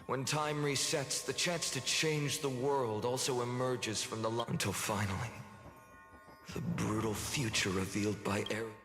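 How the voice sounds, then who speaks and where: A man voicing a weary, sorrowful, quietly wrathful hero in a dramatic video game monologue, solemn and deliberate. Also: mid-range voice, steady tone. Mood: solemn, resolute. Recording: echoey long reverb, music underneath. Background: dramatic game music.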